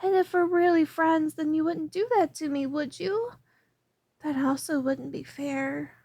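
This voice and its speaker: A woman's voice: high voice, fairly even intonation, a little slow, dark tone, dry recording. A woman voicing a young alchemist who chatters as she works and turns obsessive, in a scripted audio roleplay. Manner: chatty, rambling, then clingy and obsessive. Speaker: ASMR roleplay performer.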